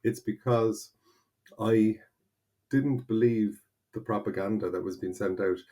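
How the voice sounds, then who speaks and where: A man's voice: lowish voice, measured pace. A man in his forties from North County Kildare with an Irish accent, a guest in a conversational interview who explains things eagerly, restarting often. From Ireland (North County Kildare). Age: 40s. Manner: animated, explanatory, with many restarts. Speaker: guest, translator.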